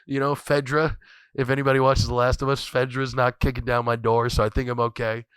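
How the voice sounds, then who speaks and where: A man's voice: medium pitch, flat delivery, fairly quick, a bit bright, smooth, no echo. Congested man riffing on his podcast. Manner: rambling, repeats phrases, swears freely. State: congested, scratchy voice from a cold. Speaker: podcast host. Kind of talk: casual profane solo commentary.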